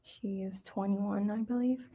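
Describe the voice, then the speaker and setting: An eighteen-year-old woman from Iraq answers on the witness stand in short, soft replies, sometimes dropping almost to a whisper. Her voice is mellow and very flat, with little rise or fall. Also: medium pitch, measured pace, breathy edge. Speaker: trial witness. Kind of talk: testimony under questioning.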